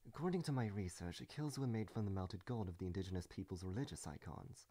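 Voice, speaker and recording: A man's voice: low voice, animated, fast, dark tone. A man voicing a wry, world-weary woman adventurer in a comic dub, with a dry, sardonic delivery. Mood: measured, wry. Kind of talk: comic dub acting with reflective monologues.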